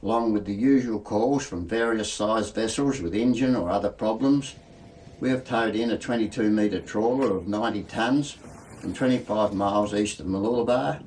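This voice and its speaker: A man's voice: fairly low pitch, moderate pace, darkish tone. An Australian man reading a scripted rescue report as a coast guard narrator. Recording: clearly noisy voice-over track.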